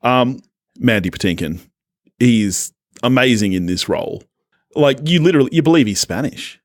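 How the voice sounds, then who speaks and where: A man's voice: medium pitch, animated, moderate pace, dry recording, clean studio sound. A man co-hosting a podcast, chatting casually about films in an enthusiastic, jokey way. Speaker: podcast co-host. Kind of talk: casual film discussion.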